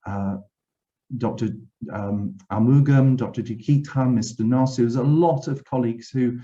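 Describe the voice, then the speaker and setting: Welsh man, formal speech, reflective, frequent restarts, mellow voice, dull webinar sound. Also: mid-range voice, normal speed. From Wales. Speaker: university director general giving a webinar address. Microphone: video call.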